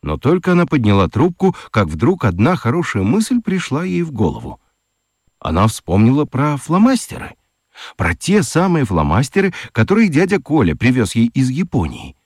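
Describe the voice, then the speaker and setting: Narrator: a man with a low voice and very lively intonation, dry studio sound. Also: moderate pace, husky, dry recording. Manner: expressive storytelling. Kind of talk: scripted narration for a children's radio play.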